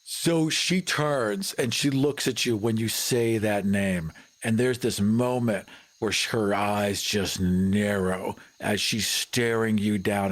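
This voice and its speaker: A man playing a tabletop roleplaying game, casually narrating his character's actions in a descriptive, cinematic way. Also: fairly low pitch.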